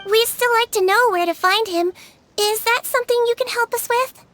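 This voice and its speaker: A woman voicing a petite girlish nurse in a video game, speaking in a polite, gentle and earnest way. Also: very high-pitched, moderate pace, slight background noise. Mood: politely curious. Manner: soft-mannered, polite, earnest. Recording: music playing behind the voice.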